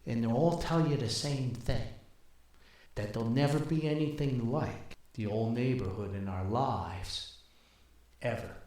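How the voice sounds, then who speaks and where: A man's voice: mid-range voice, unhurried, darkish tone, far from the mic, natural voice. Sentimental old dad played by a male storyteller, plainspoken, wistful, heavily reverberant sound. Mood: earnest, wistful. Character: sentimental old father missing his old neighborhood. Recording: very echoey, reverberant voice recording.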